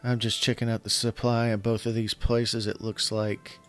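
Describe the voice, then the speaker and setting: A man with a slightly scratchy voice, casually commenting while he plays a strategy game and thinking aloud in halting, self-correcting phrases. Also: lowish voice, flat delivery, fairly bright tone. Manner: thinks aloud, halting, restarts mid-sentence. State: slightly scratchy voice from illness and yelling. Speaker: gaming channel host. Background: faint game music.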